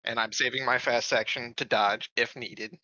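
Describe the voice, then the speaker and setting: A man's voice: medium pitch, flat delivery, normal speed, bright, forceful tone, dry recording. A man playing a tabletop game, chatting casually and often repeating his words, on slightly dull audio.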